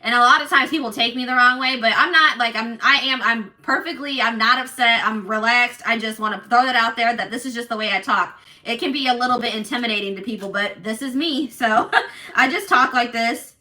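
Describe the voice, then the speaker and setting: A woman doing a casual tarot reading for her viewers, talking in an assertive, loud way with lots of restarts and "like". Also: on the high side, a little fast, low-quality recording. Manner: animated, many restarts and fillers. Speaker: tarot reader.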